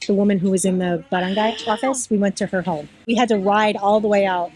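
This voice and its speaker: A woman's voice: medium pitch, fast, background noise. A woman vlogger talking casually with her mother, explaining step by step where an old family house once stood. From United States. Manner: explains step by step, thinking aloud. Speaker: vlogger showing family footage. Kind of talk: casual chat.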